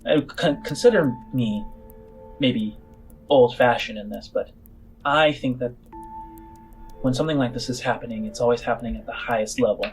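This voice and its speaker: A man playing a shrewd, measured female alien informant in a tabletop game, speaking formally and haltingly over music. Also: mid-range voice, natural voice, poor audio. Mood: grave, deliberate.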